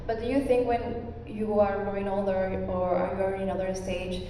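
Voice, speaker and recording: Earnest woman from Peru, mellow tone. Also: mid-range voice, little pitch movement, normal speed, slight background noise. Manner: emotional and earnest, near tears. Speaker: audience member asking a question. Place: large event hall. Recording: echoey hall from far off, crowd behind.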